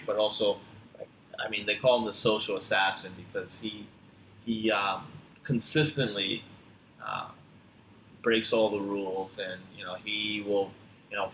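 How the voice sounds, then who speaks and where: A man from Tanzania who grew up in upstate New York, talking casually and reflectively as a podcast guest, often halting and repeating phrases. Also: fairly low pitch, sharp tone. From born in Tanzania, grew up in upstate New York. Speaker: podcast guest, physical therapist. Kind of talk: casual conversational storytelling. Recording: roomy echo, clear background noise.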